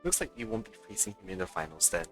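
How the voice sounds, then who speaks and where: A man's voice: sits low, very animated, rapid-fire, music in the background. A man giving casual, chatty let's play commentary as a game streamer, sometimes reading game text aloud. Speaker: streamer, gamer.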